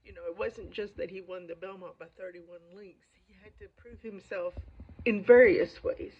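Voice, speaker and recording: A woman with a lingering cold, talking to viewers as a poetry video host in a casual, digressive, self-deprecating monologue with a creaky voice. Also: medium pitch, moderate pace. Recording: dull lo-fi audio.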